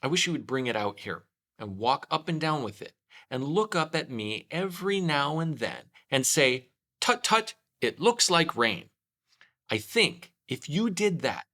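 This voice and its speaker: A man's voice: mid-range voice, big pitch swings, measured pace, bright, forceful tone, voice pushed up, heavily acted. A man voicing an earnest, solemn, slightly foolish toy bear in a children's storybook. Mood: conspiratorial. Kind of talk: children's storybook read-aloud.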